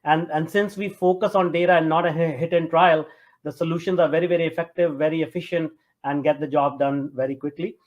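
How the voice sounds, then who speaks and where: A man from Punjab with a North Indian accent, answering as an interview guest in a halting, conversational way with many fillers. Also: on the high side, steady tone. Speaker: interview guest, tech entrepreneur.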